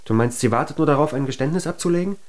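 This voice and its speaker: A man's voice: a little high, racing, lightly acted. An actor plays a jealous young man in a naturalistic radio drama. He presses and accuses, full of suspicion, as someone confronting a close friend. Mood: suspicious, accusing.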